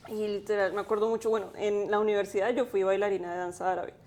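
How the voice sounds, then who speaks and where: Woman in her twenties, mellow tone, reflective interview guest. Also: mid-range voice, steady tone, fast. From Colombia. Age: 20s. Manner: thoughtful, with many fillers. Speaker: guest, graphic designer.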